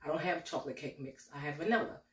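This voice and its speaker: A woman's voice: sits low, fast talker. Chatty woman with a slight cold. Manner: chatty, folksy, talks to viewers as y'all. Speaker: cooking vlogger. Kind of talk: casual cooking vlog. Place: home kitchen, indoors. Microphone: camera a few steps away. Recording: roomy kitchen echo, mic at a distance.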